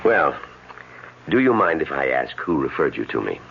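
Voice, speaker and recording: A suave healer in an old radio drama, measured and gently needling. The man's voice is very low with a gravelly creak. The recording is dull and noisy. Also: very animated, full character voice. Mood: courteous, composed. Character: suave, unruffled healer treating patients by mind power.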